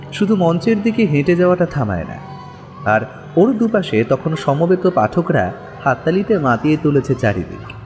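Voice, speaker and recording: Expressive male horror story narrator. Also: a little high.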